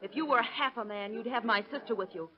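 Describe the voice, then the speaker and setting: An actress playing a sharp-tongued, taunting sister-in-law in 1930s radio drama style. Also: female voice, on the high side, fast, close to an everyday voice. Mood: scornful. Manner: taunting, theatrical. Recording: noisy, muffled vintage radio recording.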